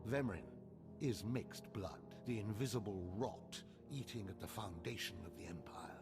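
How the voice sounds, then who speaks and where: An actor playing a stern, scheming older male mentor in a video game, grave, deliberate and commanding. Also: on the low side, vocal fry, music in the background. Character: stern, scheming older male Sith overseer and father, controlled.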